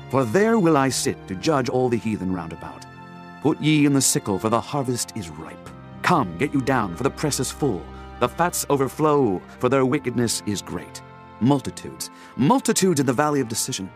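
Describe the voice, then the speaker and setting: A man narrating a dramatized scripture audiobook in a solemn, declamatory, measured manner. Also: mid-range voice.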